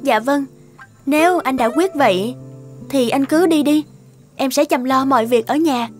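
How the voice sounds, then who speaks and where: A young cartoon wife, gentle and dutiful. Her voice is high and deferential, with guitar music playing behind it. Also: measured pace. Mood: accepting. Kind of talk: Vietnamese cartoon dub.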